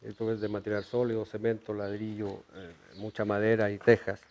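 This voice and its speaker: A Chilean man in his fifties, a horse trainer, telling stories in an outdoor ranch interview, trailing off softly and restarting his phrases. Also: lowish voice, level tone, fairly quick, highs cut off, a little hiss or hum. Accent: Chilean Spanish with Mexican influence. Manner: warm storyteller, many restarts, trails off softly. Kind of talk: storytelling interview. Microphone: lapel.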